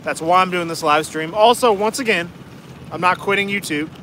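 Young man with a higher voice on a lake. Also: normal speed, sharp tone. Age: young adult. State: losing his voice. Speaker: host. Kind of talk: casual live-stream chat. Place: small inflatable boat on a lake, outdoors. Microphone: phone camera held at arm's length. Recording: wind and water noise outdoors.

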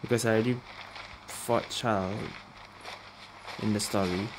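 A man's voice: lowish voice, fairly even intonation, a little slow, background noise. A man giving casual gameplay commentary, thinking aloud. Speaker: gamer, streamer. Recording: game music behind the voice.

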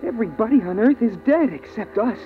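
Earnest, high-voiced boy in radio drama. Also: animated, brisk. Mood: startled. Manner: eager, boyish narration. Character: earnest, excitable boy who scavenges air. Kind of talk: 1950s radio drama acting. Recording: tinny band-limited 1950s radio recording.

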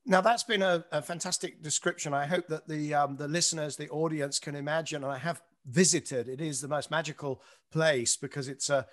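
A man's voice: a little high, fairly even intonation, fairly quick, few pauses. A man hosting a podcast interview over a video call, responding with appreciative enthusiasm. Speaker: podcast host. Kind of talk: conversational interview. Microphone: webcam on a video call.

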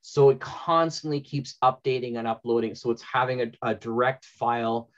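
A man's voice: mid-range voice, fairly even intonation, moderate pace. A middle-aged man talking informally as the host of a panel. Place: indoor room at home, on a video call. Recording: dull lo-fi video call audio.

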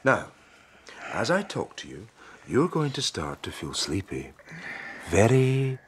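A man's voice: on the low side, sing-song, slow, a little hiss or hum. An actor playing an indignant, easily flustered male psychiatrist in a radio drama, speaking precisely. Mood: slow, soothing. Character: exasperated, indignant male psychiatrist who practises hypnosis.

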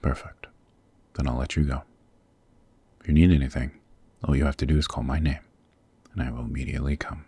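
An extremely low, husky demon king, acted by a man for an ASMR roleplay. He is commanding yet tender, protective and possessive, speaking formally and up close in feel. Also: fairly even intonation, brisk, pauses often, warm tone, exaggerated voice. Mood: commanding, caring. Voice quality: husky, very deep. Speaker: voice actor.

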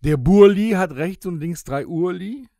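A man's voice: high-pitched, animated, measured pace, warm tone, no room sound, studio quality. A man talking casually as a podcast co-host among friends. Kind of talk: casual chat.

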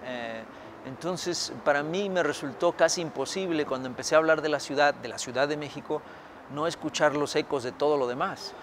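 Middle-aged man from Mexico, slightly high voice, reflective interview answers with hesitations, faint traffic outdoors. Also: fairly quick. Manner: thoughtful, many fillers and restarts. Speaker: historian author. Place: outdoors by a building.

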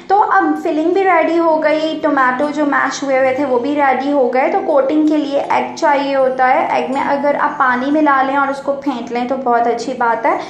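High-voiced chatty woman in echoey kitchen. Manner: chatty, explains steps to viewers. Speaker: home cooking vlogger. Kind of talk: casual cooking voice-over. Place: home kitchen.

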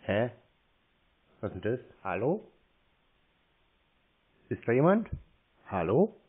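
Deadpan man on a tinny phone. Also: medium pitch, husky. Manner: stubborn, deadpan, slow to cooperate. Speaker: prank call host, scambaiter. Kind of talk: casual phone chat. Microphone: phone call. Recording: thin, narrow telephone line.

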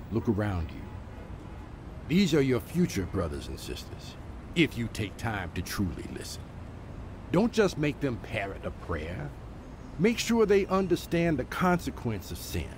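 A man's voice: medium pitch, a little mellow, a little hiss or hum. An actor playing an earnest man who lives among the homeless and tells a story in a radio drama. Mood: earnest challenge. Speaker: storyteller and street preacher.

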